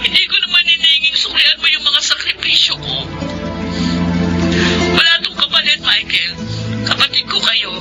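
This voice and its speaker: A Filipino actress playing a self-sacrificing eldest sister in a radio drama, speaking with emotional, pleading emphasis. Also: female voice, sits high, normal speed, lightly acted, low-quality recording. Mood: solemn pleading. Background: dramatic music.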